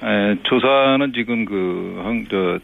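Halting, low-voiced male official on a phone line. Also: creaky. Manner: halting, hesitant, polite official explanation. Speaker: subway operations executive, interview guest. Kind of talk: formal phone interview answers. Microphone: telephone.

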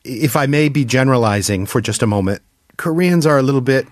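A man hosting an interview, asking measured, articulate questions. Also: mid-range voice, lively intonation, no room sound, clean studio sound. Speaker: interview host.

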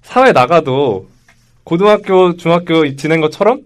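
A man in his twenties in a lively panel debate, arguing his side and acting out how other people react. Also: fairly high pitch, moderate pace. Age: 20s.

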